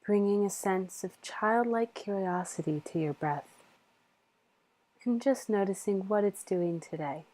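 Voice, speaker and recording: A woman leading a guided meditation in a soothing, measured manner with long pauses. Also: mid-range voice, warm tone, rough recording.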